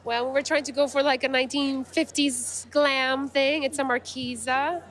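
A woman's voice: high voice, fairly even intonation, normal speed, a bit bright. A woman from Guatemala, a nominated musician, answering questions at a red carpet interview into a handheld mic, speaking in an easygoing way with light laughs. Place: outdoor red carpet at an awards show. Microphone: handheld mic held by interviewer.

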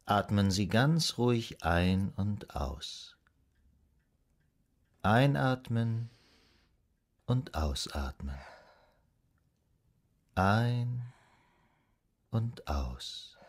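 An actor playing a calm, soothing psychoanalyst in a radio drama, guiding a patient in a measured, hypnotic, gently instructing way. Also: male voice, on the low side, exaggerated voice. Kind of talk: German radio drama acting.

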